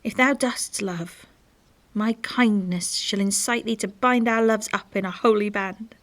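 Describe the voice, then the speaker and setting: A woman playing a proud, witty gentlewoman softened by love, speaking Shakespearean verse earnestly in a radio play. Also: medium pitch, natural voice. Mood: tender, resolved. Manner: earnest, heartfelt, measured.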